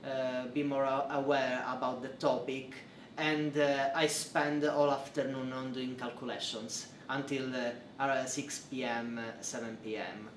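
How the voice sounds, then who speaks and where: A man's voice: mid-range voice, little pitch movement, a little slow. A young Italian man with an Italian accent, speaking English as a second language and answering interview questions with hesitant fillers. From Italy. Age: young adult. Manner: hesitant, many fillers and restarts, non-native phrasing. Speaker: interviewee, PhD researcher. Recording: roomy, distant mic, faint noise.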